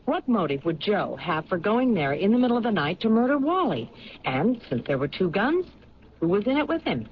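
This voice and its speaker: A persistent female police lieutenant played by a radio actress, probing and deliberate, with a mid-pitched, animated voice. The sound is dull vintage radio with faint noise. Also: close to an everyday voice. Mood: pensive, reasoning. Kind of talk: old radio drama acting. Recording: muffled, noisy 1970s radio recording.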